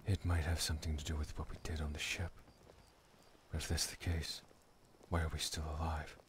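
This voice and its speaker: An actor playing a wary adventurer in a video game, muted, sombre and understated. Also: male voice, very deep voice, level tone, fairly quick, a little hiss or hum. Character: guarded, wary male adventurer in trouble. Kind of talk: video game mod voice acting.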